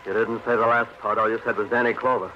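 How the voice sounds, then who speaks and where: A man's voice: fairly low pitch, flat delivery, quick pace, natural voice. A man playing a bitter, drunken young barfly in an old radio drama, rambling in a theatrical, sardonic, mockingly florid way. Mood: bitter, maudlin. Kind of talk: 1950s radio drama acting. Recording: muffled old radio recording, faint music.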